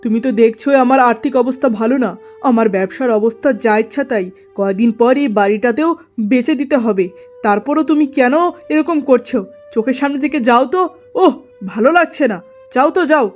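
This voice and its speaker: A woman's voice: fairly high pitch, normal speed, darkish tone, faint music behind, lightly acted. Irritable scornful merchant husband, acted by a woman. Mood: irritated, scornful. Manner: scolding, dismissive. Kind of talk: cartoon story acting.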